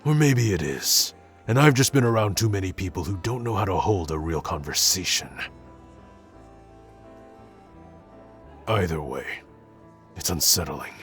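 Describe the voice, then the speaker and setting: A man playing a gruff, awkward young tsundere prince who speaks haltingly and grudgingly in an intimate roleplay audio addressed to the listener. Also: low-pitched, lively intonation, fairly bright tone. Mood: awkwardly confessing. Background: background music.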